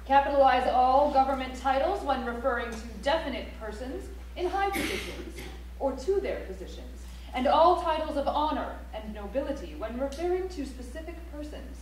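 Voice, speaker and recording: A woman's voice: high-pitched, animated, moderate pace, a little mellow. A woman stage actor reciting rules in a precise, instructional manner, like a declarative rulebook being read out. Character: prescriptive female voice reciting capitalization rules. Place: library auditorium stage, recorded from the audience. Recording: echoey auditorium, distant mic, faint noise.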